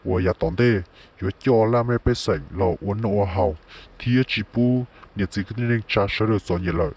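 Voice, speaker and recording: Male cartoon character, played by a man, lowish pitch, rough husky tone. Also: fairly quick, darkish tone. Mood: talkative. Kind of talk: cartoon voice acting.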